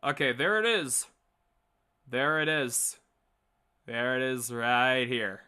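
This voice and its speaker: A man's voice: medium pitch, expressive, slow pace, bright, forceful tone, clean tone. A man chatting casually to his viewers as a livestream host, joking around and swearing freely. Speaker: livestream host and comic dub creator. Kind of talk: casual rambling chat to viewers.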